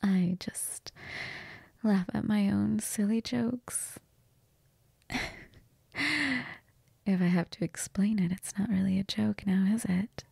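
Woman playing a breathy, flirtatious vampire. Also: medium pitch, fairly even intonation, dry recording, full character voice. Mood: seductive. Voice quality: breathy, half-whispered.

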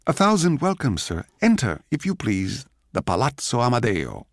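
A man playing an excitable, manic young composer in a radio drama, exclamatory and sing-song in his welcomes. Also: medium pitch, moderate pace, dry recording, studio quality, pitched above the performer's own voice. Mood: giddy welcome.

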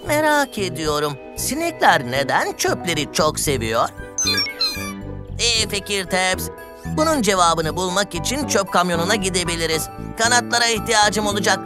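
A woman's voice: mid-range voice, sing-song, normal speed, natural voice. A woman voicing a cheerful, curious young male explorer who exclaims with wonder in a children's cartoon dub. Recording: music under the voice.

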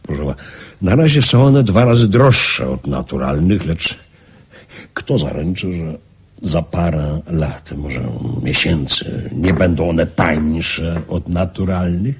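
An actor in a radio play playing an anxious, wealthy businessman, hesitant and pleading, with a husky voice. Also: low voice, slow pace, full character voice. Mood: grave, intense. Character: anxious wealthy diamond-mine businessman bargaining for a talisman. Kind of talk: radio drama acting.

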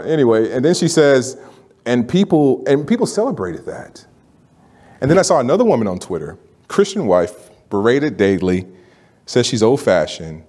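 Man preaching conversationally from a pulpit. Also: medium pitch. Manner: conversational preaching, rising animated for emphasis. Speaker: pastor. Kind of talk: sermon. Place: church sanctuary, standing at a pulpit. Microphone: pulpit microphone.

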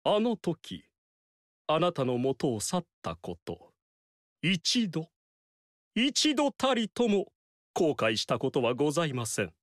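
A self-satisfied strategist who boasts of his own schemes. His pitch leaps and dips dramatically while he speaks in a slow, savoring way. The recording is close and dry. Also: wildly swooping pitch, no echo, full character voice. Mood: proud. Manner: boastful, theatrical, swings loud and soft. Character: theatrical vain male strategist, boastful schemer. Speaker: game character voice. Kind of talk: Japanese game dialogue acting. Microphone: dry studio mic.

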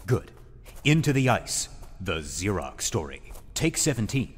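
A man's voice: on the low side, sing-song, a little slow. A man playing a pompous, wisecracking amateur filmmaker in an audio drama, theatrical and grumbling. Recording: long reverb, music behind.

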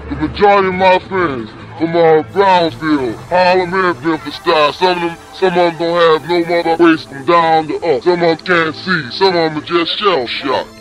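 A high-pitched man in an archival street interview, a veteran venting his anger in short indignant bursts and rhetorical questions. A music bed plays beneath him. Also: normal speed, few pauses, fairly bright tone, low-quality recording. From United States. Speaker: Vietnam veteran.

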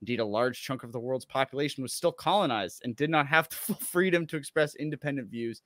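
A man's voice: mid-range voice, fast talker, fairly bright tone, dry recording, studio quality. A man co-hosting a talk show on a video call, reading an article aloud and commenting with sarcastic asides.

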